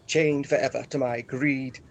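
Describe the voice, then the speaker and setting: A man's voice: mid-range voice, steady tone, unhurried. A man acting a gloomy, troubled older uncle in a comic radio play, weary and exasperated.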